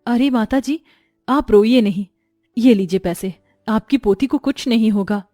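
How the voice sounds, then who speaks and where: A kind, earnest village mother from a cartoon moral story, voiced by a woman. Her voice is a little high and soft-toned, recorded dry with faint music underneath. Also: measured pace, dry recording, natural voice. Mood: kind, practical.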